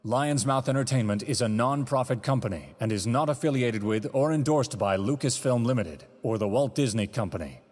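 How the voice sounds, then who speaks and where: A man's voice: medium pitch, animated, fairly quick, few pauses, a little mellow. A man reading scripted narration and credits as the announcer of an audio drama, in a dramatic style. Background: orchestral film music.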